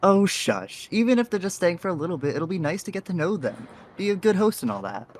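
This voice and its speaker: Guy playing friendly easygoing gang leader, audio drama, very lively pitch, casual n kinda hesitant. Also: a little fast, slight background noise. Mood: cheerful, welcoming. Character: Toast, friendly easygoing faceless graffiti artist, male group leader.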